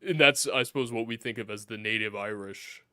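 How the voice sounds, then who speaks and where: Smooth-voiced host man, thoughtful. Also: lowish voice, brisk, pushed and bright, no room sound, studio quality. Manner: thoughtful, hedging. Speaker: podcast host. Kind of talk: casual podcast discussion.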